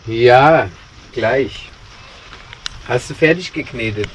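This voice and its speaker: A man's voice: medium pitch, slow pace, far from the mic, music in the background, poor audio. A man talking casually in a camper van, blunt and curt, with a grumbling manner. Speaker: camping vlogger's partner. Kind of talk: casual chat. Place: inside a camper van, in the car earlier. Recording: loud car and camper noise.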